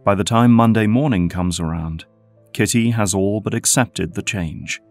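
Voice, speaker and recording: A man's voice: fairly low pitch, moderate pace, soft background music. A man delivering scripted dramatic narration as the narrator of an audio drama.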